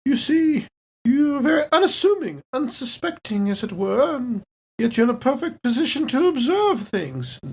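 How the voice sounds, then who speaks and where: A woman playing a suspicious, smooth-talking female space station agent with arch, hesitant politeness in a tabletop game. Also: high voice, sing-song, moderate pace, darkish tone, heavily acted. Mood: politely menacing. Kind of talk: improvised tabletop roleplay.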